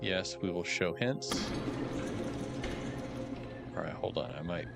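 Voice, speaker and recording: Slightly hoarse, chatty male streamer. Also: low-pitched, lively intonation, takes it slowly. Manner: chatty, thinking aloud, wry asides. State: a little hoarse. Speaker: game streamer. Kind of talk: casual live-stream commentary. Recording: background music under voice.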